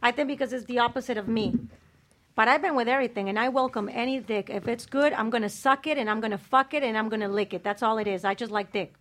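A woman's voice: on the high side, quick pace. A woman co-hosting a crude casual chat show, blunt and profane.

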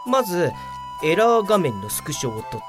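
A woman's voice: on the low side, sing-song, moderate pace, music in the background. A woman playing a young male office worker in an audio drama, self-assured and grumbling. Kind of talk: Japanese audio drama acting.

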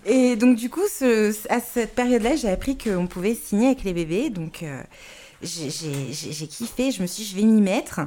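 A woman's voice: mid-range voice, animated, moderate pace, warm tone, a little hiss or hum. A woman presenting a podcast segment to listeners, enthusiastic and chatty, with frequent hesitations. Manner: enthusiastic, hesitant with many fillers and restarts. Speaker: podcast columnist. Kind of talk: casual chat reporting to listeners.

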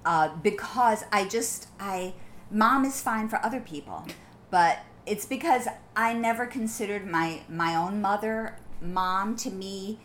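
A middle-aged woman from the Midwest with a mostly neutral American accent, chatting warmly with her grown daughter at home. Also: medium pitch, a little slow, a little hiss or hum. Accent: neutral American, faint Midwestern. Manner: warm, chatty, laughs easily. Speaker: mother of the co-host. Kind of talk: casual chat. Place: apartment.

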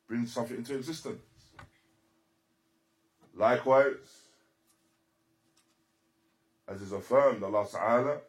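A man giving a religious lecture as a teacher, speaking deliberately and emphatically in short, repeated phrases and switching into Arabic quotations. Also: medium pitch, poor audio. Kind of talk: religious lecture explaining a text.

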